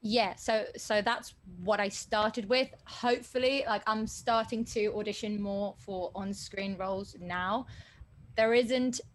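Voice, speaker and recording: A woman's voice: medium pitch, fairly even intonation, unhurried. A young woman from Argentina, a native Spanish speaker with a learned British English accent, chatting as an actress in an interview in a polite, proper and upbeat way. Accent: British English, learned as a second language. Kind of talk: casual interview chat.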